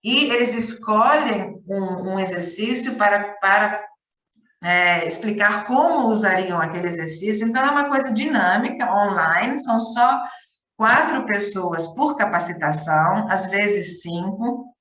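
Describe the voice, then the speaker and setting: A woman's voice: mid-range voice, unhurried, a bit bright. Woman on a distant, roomy call. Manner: chatty, repeats words for emphasis, frequent restarts. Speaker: conference speaker, volunteer teaching coordinator. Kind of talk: conversational conference talk.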